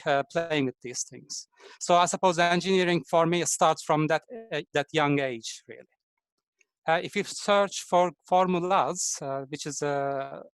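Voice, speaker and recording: A man from Turkey with a Turkish accent, a design engineer giving a halting, friendly online presentation full of fillers over a video call. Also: on the high side, smooth, no echo.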